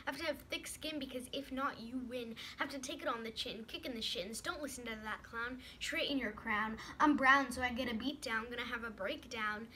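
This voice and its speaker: Bright, high-voiced woman performing slam poetry. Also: moderate pace, few pauses. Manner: emphatic, punchy, rhythmic. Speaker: poet. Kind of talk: rhymed slam poetry recital. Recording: clearly noisy amateur recording.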